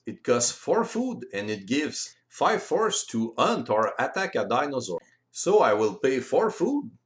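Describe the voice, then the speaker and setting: A man explaining board game rules step by step in simple, deliberate phrasing. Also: medium pitch, fairly bright tone. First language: French. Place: voice-over recorded separately from the video.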